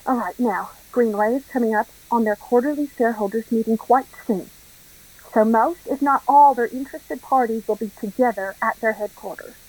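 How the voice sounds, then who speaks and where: A woman's voice: on the high side, moderate pace, darkish tone, close to an everyday voice, poor audio. A woman playing a smug, scheming Southern crime boss in a radio play, with an effusive, mock-genteel drawl. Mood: pleased scheming.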